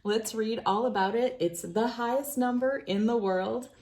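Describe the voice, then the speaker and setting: Mellow-voiced woman reading a storybook. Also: on the high side, measured pace. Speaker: children's book reader. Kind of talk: read-aloud storybook narration.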